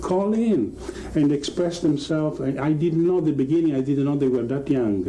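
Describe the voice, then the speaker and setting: Guy in doc interview, mellow voice, repeats himself, bit of background noise. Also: fairly high pitch, poor audio. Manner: halting, repetitive. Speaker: documentary interviewee. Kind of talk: unscripted interview talk.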